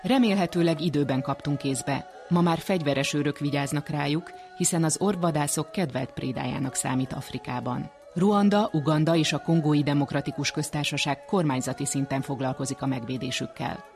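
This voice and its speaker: A male radio presenter delivers scripted documentary narration. His voice sits somewhat higher than most men's, and soft music plays behind him. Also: hardly any pauses. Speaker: radio science programme presenter.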